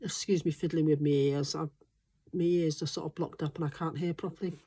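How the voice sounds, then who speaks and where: A low-pitched woman talks casually to camera about life on her smallholding. Her voice sounds stuffed up and congested from a recent flu. She rambles, with plenty of ums and restarts. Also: steady tone, brisk, dark tone, breathy edge. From Wales. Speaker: smallholding vlogger. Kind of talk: casual chatty monologue.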